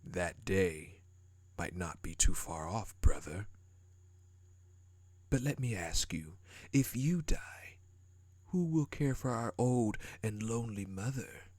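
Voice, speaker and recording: Man acting as a cold, slow-spoken, menacing prince. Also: fairly low pitch, lively intonation, darkish tone, no echo. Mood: menacing contempt. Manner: contemptuous, menacing, drawn-out formal delivery. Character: cold, haughty, power-hungry prince who scorns weakness. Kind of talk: scripted dramatic audio roleplay.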